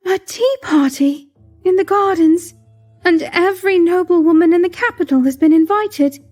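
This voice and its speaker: A woman playing a petulant, wounded young royal mistress in a plaintive, high-strung, melodramatic audio drama style. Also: moderate pace, darkish tone, soft background music. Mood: shocked, hurt.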